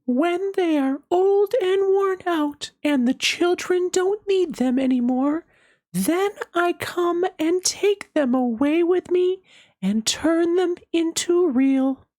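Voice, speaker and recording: A woman voicing a gentle, kindly nursery fairy in a children's storybook, with a soothing, caring manner. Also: very high-pitched, takes it slowly, voice pushed up. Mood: gentle, reassuring.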